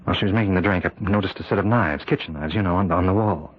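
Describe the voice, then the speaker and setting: An actor playing a tormented, frightened prison warden in an old-time radio drama, speaking haltingly and repeating himself in anguish. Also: male voice, low voice. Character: tormented prison warden husband, fearful he is possessed. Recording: muffled old radio recording.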